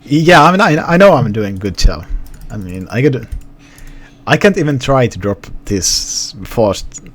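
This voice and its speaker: Young Finnish streamer, light accent, loose rambling chat with viewers, mid-pitched. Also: male voice, big pitch swings, a little slow. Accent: Finnish, partly suppressed. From Finland. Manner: rambling, restarts, talks to chat. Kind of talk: casual live-stream chat.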